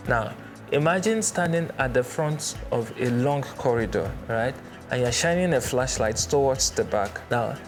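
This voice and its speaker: A man presenting an explainer in a measured, didactic way, stressing his points. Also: mid-range voice. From Nigeria. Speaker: presenter, church sound instructor. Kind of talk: explainer with everyday analogies. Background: background music.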